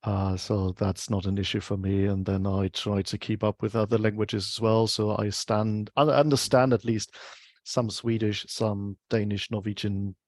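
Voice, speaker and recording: A man with a German accent, a guest in an interview on a video call, speaking English as a second language and often pausing to search for words. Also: on the low side, little pitch movement, no echo, studio quality. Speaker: guest, UX researcher. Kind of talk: casual interview chat.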